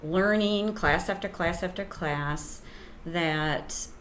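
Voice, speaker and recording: A soft-voiced woman teacher talks slowly and a little hesitantly, often pausing on fillers. Her voice sits fairly low, and a faint hiss of noise runs behind her. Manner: hesitant, with many ums and restarts. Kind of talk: interview guest, casual explanation.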